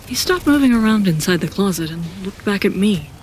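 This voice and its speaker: A woman playing an introspective seasoned warrior who narrates her own story in an audio drama, reflective and deliberate. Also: mid-range voice, lively intonation, a little mellow, a little hiss or hum, lightly acted, low-quality recording. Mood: pensive. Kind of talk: first-person scripted audio drama narration.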